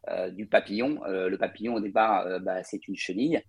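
A man's voice: sits low, a little fast, vocal fry, breathy edge, no echo. A man from Paris, cofounder of a real estate company, telling his career story as an interview guest with frequent hesitation fillers. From Paris, France. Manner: fluent, many hesitation fillers.

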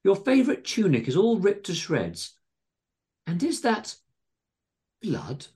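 A man's voice: fairly high pitch, wildly swooping pitch, a little slow, darkish tone, voice pushed up. A man voicing a long-suffering, motherly housewife in a comic story, fussy, doting and fretful.

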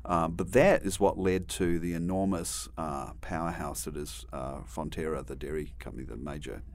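Very deep-voiced New Zealand man. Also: fairly even intonation, moderate pace, darkish tone, slight background noise. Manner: rambling, frequent restarts and asides, dry humor. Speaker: guest. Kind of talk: conversational interview storytelling.

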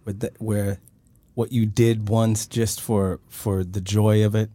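Low-voiced thoughtful male host. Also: level tone, slow pace, mellow. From Sweden. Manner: thoughtful, searching for words with many fillers. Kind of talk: conversational interview.

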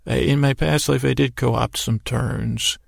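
A man hosting a sleep podcast with a whimsical, meandering bedtime monologue, stammering and repeating words as he goes in a gravelly, creaky voice. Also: mid-range voice, fairly even intonation, measured pace, dry recording, clean studio sound. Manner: whimsical, gently addressing imagined listeners. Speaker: podcast host.

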